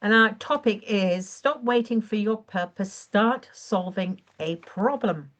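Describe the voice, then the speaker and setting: Croaky-voiced woman giving a live coaching talk. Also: medium pitch, takes it slowly, a bit bright, dull audio. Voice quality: clears throat often. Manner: earnest, encouraging, with occasional self-corrections. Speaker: online business coach. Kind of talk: live-streamed training talk.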